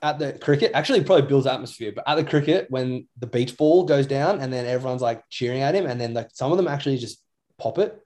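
A man's voice: mid-range voice, quick pace, darkish tone. A young Australian man co-hosting a podcast in casual chat, talking in a rambling, matey, slangy way. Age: young adult. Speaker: podcast co-host.

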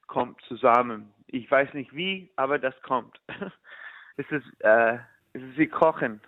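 A man from the United States, a musician, answering in halting German and slipping into English. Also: mid-range voice, no room sound. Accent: American. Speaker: musician guest. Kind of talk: casual interview in learner German. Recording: dry, phone-like line.

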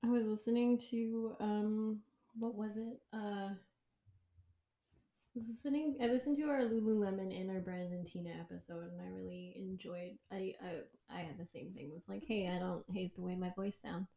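A woman's voice: medium pitch, steady tone, normal speed, warm tone. A woman co-hosting a podcast, rambling in casual chat with many fillers. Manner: rambling, lots of fillers, laughs along. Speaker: podcast co-host. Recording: echoey room.

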